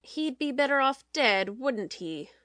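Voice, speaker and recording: A woman playing a domineering, cold-blooded TV producer in a dramatic audiobook, speaking in a forceful, pointed way. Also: high voice, sing-song, unhurried, no echo, exaggerated voice. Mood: cold, dark. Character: hard, calculating TV show producer, domineering.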